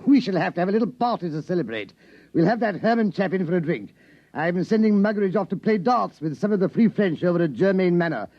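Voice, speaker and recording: An actor playing a pompous, blustering British army colonel, hearty and easily flustered, in an old radio drama. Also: male voice, high-pitched, expressive, fast talker. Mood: jovial. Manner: hearty, flustered, stammering when alarmed. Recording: dull vintage radio broadcast.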